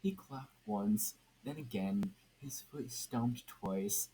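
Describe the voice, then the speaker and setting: Slow, halting male amateur narrator. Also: lowish voice, mellow. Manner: halting, stumbles and repeats words. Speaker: podfic narrator. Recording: noisy amateur home recording.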